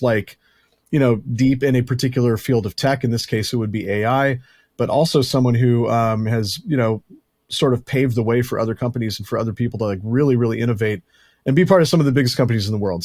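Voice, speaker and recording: A man's voice: medium pitch, a little mellow, no room sound. Male podcast host, chatty and rambling, dry close sound. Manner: rambling, self-deprecating, chatty. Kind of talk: casual podcast chat.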